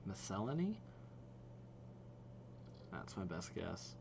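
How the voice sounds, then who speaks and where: A man's voice: sits low, sing-song, takes it slowly, vocal fry. Casual young man, faint noise behind. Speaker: reaction video host. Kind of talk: casual, rambling reaction commentary.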